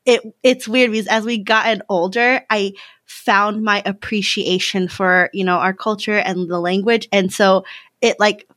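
A woman's voice: mid-range voice, fairly even intonation, a little slow, fairly bright tone, dry recording, clean studio sound. An American woman in her late twenties chatting casually as a podcast guest, informal and giggly, often saying "like". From California, United States. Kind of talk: casual chat.

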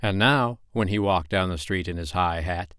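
A man's voice: low-pitched, normal speed, fairly bright tone, clean tone, no room sound, clean studio sound. A man reading a children's story aloud as a bedtime storyteller, speaking directly to young listeners with emphatic, sing-song phrasing. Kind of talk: expressive children's story narration.

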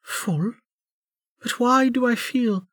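A man voicing a distraught, disoriented warrior woman in an audiobook, with a halting, gasping, strained delivery. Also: extremely high pitch, a bit bright, dry recording, clean studio sound, voice pushed up, heavily acted. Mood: confused. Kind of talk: audiobook character acting.

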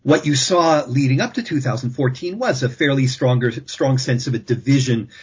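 A man speaking as an expert guest on a panel discussion, measured and thinking aloud, often restarting his sentences. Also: medium pitch, husky, lo-fi sound.

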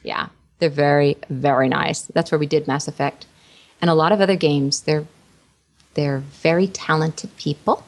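A woman's voice: low voice, fairly even intonation, normal speed. A woman voice actress chatting playfully in a casual interview, laughing often. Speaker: voice actress, guest.

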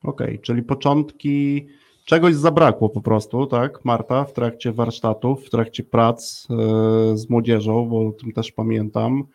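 Gravelly-voiced man hosting a chatty interview. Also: medium pitch, steady tone, a little slow, a little mellow. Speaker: podcast host. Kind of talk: casual conversational interviewing.

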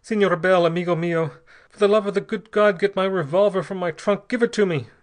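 A man voicing a tormented, formal Argentine gentleman in an adventure story, gasping and pleading in halting, unsteady phrases. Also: fairly high pitch, voice pushed up, natural voice. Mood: desperate pleading. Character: poisoned Argentine gentleman, desperately polite, gasping, fighting madness.

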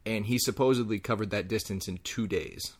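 A man's voice: fairly low pitch, brisk. A man on a home podcast, casually telling a history story full of ums and hedges. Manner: rambling, hedging storyteller with many ums. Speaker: podcast co-host. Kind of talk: casual chat.

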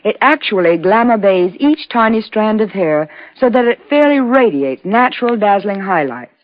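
Gushing 1940s radio ad lady, lively up n down voice, slightly muffled old recording. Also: medium pitch, normal speed, dry recording. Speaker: advertising spokeswoman. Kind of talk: 1940s scripted radio commercial. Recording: dull vintage radio recording.

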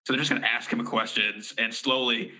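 A man with a very bright, sharp voice, chatting casually as a comedy writer. He is joking and a little self-deprecating about his work. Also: medium pitch, steady tone, fairly quick, low-quality recording. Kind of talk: casual chat.

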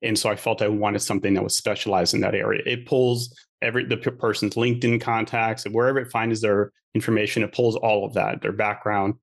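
A man's voice: fairly low pitch, flat delivery, a little fast, vocal fry, hardly any pauses. A middle-aged man from Queens, New York, whose first language is French, telling his story as a guest in a casual interview, chatty and joking. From Queens, New York, USA. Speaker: podcast guest.

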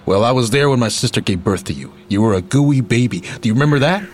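An actor playing a streetwise, middle-aged ex-convict uncle in a radio play, rambling, candid and affectionate. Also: male voice, mid-range voice, lively intonation, fast talker, darkish tone, slight background noise, natural voice. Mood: boastful, playful. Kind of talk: naturalistic radio play acting.

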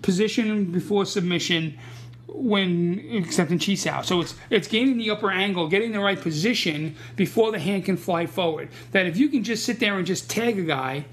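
A man's voice: high-pitched, normal speed, a bit bright. A man who teaches martial arts, talking casually and bluntly, often repeating words as he restarts sentences. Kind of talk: casual podcast conversation.

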